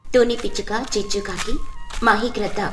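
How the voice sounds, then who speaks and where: A woman's voice: mid-range voice, level tone, normal speed, fairly bright tone, echoey room, soft background music. A woman reading scripted narration for a children's story.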